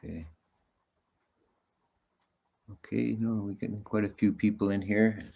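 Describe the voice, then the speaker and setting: A male Buddhist monk making quiet, dry, low-key small talk before a teaching. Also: fairly even intonation, measured pace, gravelly, husky, muffled recording. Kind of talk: casual pre-talk chat.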